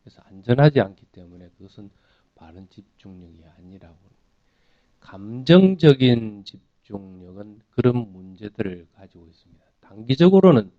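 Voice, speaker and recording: A man's voice: mid-range voice, animated, normal speed, no room sound, muffled recording. A man teaching in an explanatory dharma talk, putting questions to listeners and answering them in a didactic way. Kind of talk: explanatory sermon with everyday examples.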